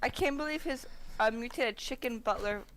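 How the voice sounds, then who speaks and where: A woman's voice: a little high, moderate pace, a bit bright. A woman who heckles her friend's fanfiction reading in a mocking, giggly way. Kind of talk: casual chat.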